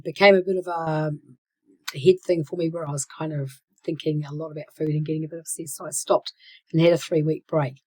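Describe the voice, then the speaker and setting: Hoarse woman in her sixties with a cold. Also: low voice, a little mellow, poor audio. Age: 60s. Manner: hesitant, many fillers and restarts, drops to a whisper at times. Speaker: channel host. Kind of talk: casual vlog talk.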